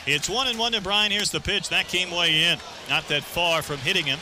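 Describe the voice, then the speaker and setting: A man's voice: high-pitched, moderate pace. A man calling live baseball play-by-play as an announcer from a stadium booth. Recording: noisy ballpark broadcast, loud crowd. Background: roaring stadium crowd.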